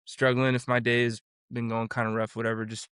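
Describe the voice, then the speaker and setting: A man's voice: medium pitch, completely flat delivery, brisk, fairly bright tone, clean tone, no echo, studio quality. A man in his forties from Florida, a vocal coach and producer, talking casually as an interview guest and rambling through his story with many restarts. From Florida, USA.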